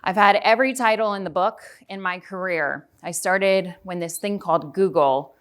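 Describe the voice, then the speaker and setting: A woman's voice: medium pitch, normal speed. A woman in her forties from Houston, giving a chatty conference talk and asking the audience questions. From Houston, Texas. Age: 40s. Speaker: conference speaker. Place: conference hall.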